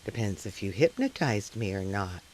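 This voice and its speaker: A man playing a probing, skeptical talk show host in comic live radio theater, with a deadpan, announcer-like delivery. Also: lowish voice, normal speed.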